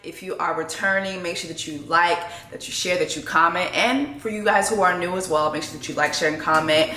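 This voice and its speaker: A young woman with a bright, forceful tone and a slightly low voice talks casually to camera. She was raised speaking Haitian Creole and grew up in Canada. Her chat is loose and rambling, full of self-deprecating asides, and the room sounds echoey around her. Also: steady tone, brisk. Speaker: vlogger and TV co-host.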